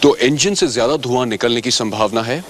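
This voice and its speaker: A man's voice: medium pitch, fairly quick, a little hiss or hum. A man presenting a scripted training film as a service advisor, courteous and instructive, addressing viewers directly.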